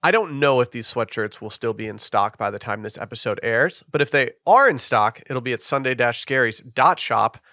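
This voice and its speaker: Casual male podcast host, bright voice, dry close mic, rambly delivery. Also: medium pitch, expressive, normal speed, few pauses, no room sound. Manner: loose, rambling, lots of fillers. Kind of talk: casual podcast chat with ad reads.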